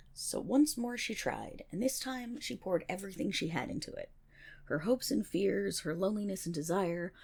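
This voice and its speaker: A woman playing a nerdy, teasing best friend in an ASMR roleplay, rambling through myth with dramatic pauses and wry, animated asides. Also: mid-range voice, gravelly. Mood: dramatic storytelling.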